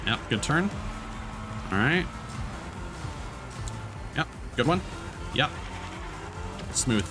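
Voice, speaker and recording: A man's voice: mid-range voice, animated, extremely slow, over music. A man streaming a game with casual, informal, sweary live commentary. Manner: informal, sweary, self-critical. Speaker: streamer, VTuber.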